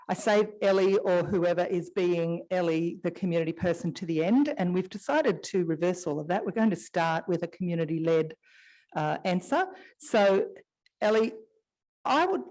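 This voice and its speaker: A woman's voice: on the low side, expressive, moderate pace, a little mellow, rough recording. A woman moderating a conference panel, introducing speakers with chatty, easygoing asides. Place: conference hall. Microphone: shared stage microphone.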